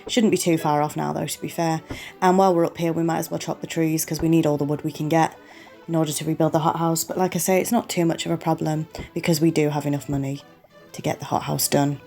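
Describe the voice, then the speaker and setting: This woman hosts a relaxed game playthrough. She speaks low and mellow, and her voice is sniffly and fading from a cold. Quiet music runs behind her chatty commentary. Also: quick pace, creaky. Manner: apologetic, chatty, talks the viewer through each step. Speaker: gaming channel host. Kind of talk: relaxed let's-play commentary.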